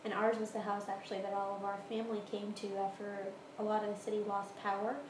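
A woman's voice: mid-range voice, monotone, fast. A woman with an American accent casually answering interview questions about her own experiences in a room at home. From Houston area, Texas, United States. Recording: roomy echo, clear background noise.

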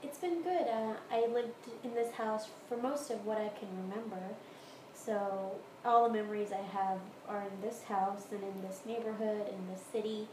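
A woman's voice: medium pitch, fairly even intonation, moderate pace. A woman with an American accent casually answering interview questions about her own experiences in a room at home. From Houston area, Texas, United States. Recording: roomy echo, clear background noise.

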